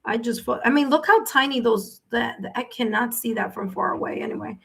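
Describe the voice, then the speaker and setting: A woman's voice: a little high, brisk, creaky, fairly bright tone, husky. A woman hosting a live tarot reading, talking to her viewers in a chatty way and often checking in with "okay?". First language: English, with Spanish as second language. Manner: chatty, repeats herself, tags sentences with "okay?". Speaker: tarot reader and live-stream host.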